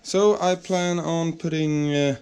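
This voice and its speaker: A Swedish man, a hobby woodworker speaking English as a second language, chatting casually in his workshop and pausing to search for words. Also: on the high side, steady tone, darkish tone, slight background noise. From Sweden.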